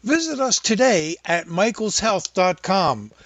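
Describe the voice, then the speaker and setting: High-pitched, animated man pitching on radio. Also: a little slow, no echo. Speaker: supplement maker advertising his product. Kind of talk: scripted radio ad read.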